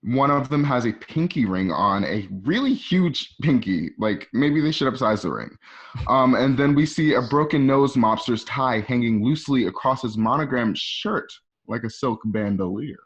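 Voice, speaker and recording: A man's voice: medium pitch, expressive, moderate pace, fairly bright tone, highs cut off. A man reading stage directions for a radio drama in a conversational way, with casual asides. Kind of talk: informal scripted narration for radio drama.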